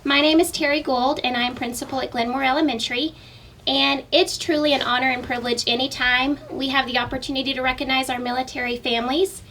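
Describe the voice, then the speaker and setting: A woman's voice: high voice, steady tone, fast, fairly bright tone, slight background noise. A woman school principal giving a gracious, formal welcome to guests.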